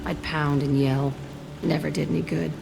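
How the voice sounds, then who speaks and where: A woman's voice: low-pitched, level tone, moderate pace, vocal fry, poor audio. An actress playing a tough, haunted, vengeful young woman in a video game, blunt, profane and defiant. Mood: haunted, bitter. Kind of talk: dramatic video game acting. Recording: music behind the voice.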